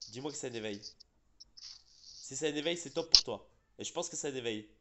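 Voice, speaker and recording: A man talks through a game session in a loose, rambling way, full of fillers and restarts. He sounds a little congested, as if sick. His voice sits at an ordinary middle pitch. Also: fairly quick, background noise. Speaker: gaming channel host. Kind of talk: casual gaming chat.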